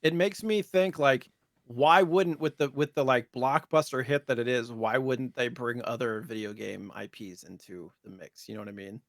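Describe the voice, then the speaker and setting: A man with a mid-pitched voice chats as a podcast co-host. His delivery is loose and rambling, recorded close and dry with no room sound. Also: steady tone, moderate pace, dry recording. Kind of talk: casual chat about retro gaming news.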